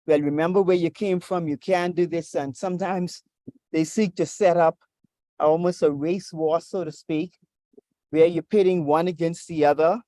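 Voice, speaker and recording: A preacher from the Bahamas, teaching in a measured, deliberate way over a video call. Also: mid-range voice, steady tone, mellow, dry recording. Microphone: video call with screen share.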